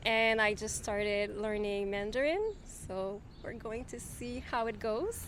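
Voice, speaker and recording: A woman's voice: medium pitch, takes it slowly, slight background noise. Chatty woman, light laughs. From Quebec, Canada. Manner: relaxed, laughs often, hesitant fillers. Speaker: guest. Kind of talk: casual interview conversation.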